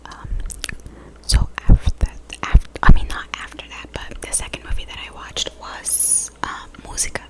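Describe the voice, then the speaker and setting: A woman whispering softly and breathily in a casual, rambling ASMR chat. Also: moderate pace, low-quality recording. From Brazil. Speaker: ASMR video creator.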